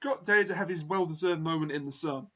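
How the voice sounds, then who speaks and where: High bright guy hosting a review podcast, wordy, dry jokes, dull lo-fi call audio. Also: quick pace. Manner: wordy, self-correcting, dry humor. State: tired, late at night. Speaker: podcast host. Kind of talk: casual review discussion.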